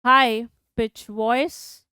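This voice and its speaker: A biology teacher with an Indian English accent, her high voice crisp and clear. She poses a question to her students and answers it herself in a steady lecturing flow. The sound is close and dry, with no room around it. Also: drawn-out words, dry recording. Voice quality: high-pitched voice. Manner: asks questions then answers them herself. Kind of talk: online exam-prep lecture.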